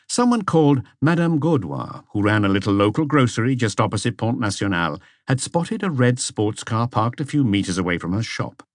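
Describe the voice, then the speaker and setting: Guy reading a detective audiobook, lowish voice, dry studio-like sound, scripted narration. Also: no room sound.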